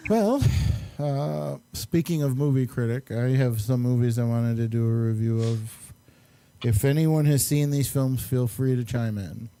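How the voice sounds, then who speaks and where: Man with mellow voice, rambling casually. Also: mid-range voice, a little slow, no echo. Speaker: host and amateur filmmaker. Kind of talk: casual chat and movie reviews.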